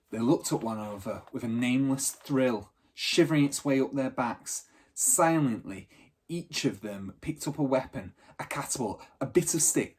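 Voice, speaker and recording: A man narrating a children's storybook aloud in an expressive, teacherly reading style. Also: medium pitch, husky.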